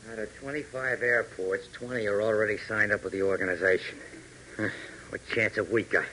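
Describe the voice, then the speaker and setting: A man's voice: on the low side, steady tone, fairly quick, sharp tone, rough recording. An actor playing a plain-spoken, grumbling airman sidekick in a 1940s radio serial, folksy and colloquial. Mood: discouraged. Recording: hissy old 1940s radio transcription.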